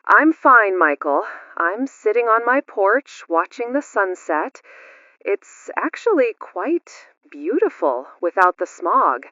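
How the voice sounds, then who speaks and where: A woman acting as a self-reliant female survivalist in a radio drama, calm and stubborn. She speaks slowly with a very mobile pitch, in a dull, dry lo-fi recording. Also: no room sound, full character voice. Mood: calm, resolute. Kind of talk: radio disaster drama, listener call-in.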